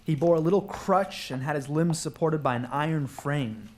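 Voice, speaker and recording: A man's voice: a little high, normal speed, slight background noise. A man telling a story as a scripted narrator in a live radio drama.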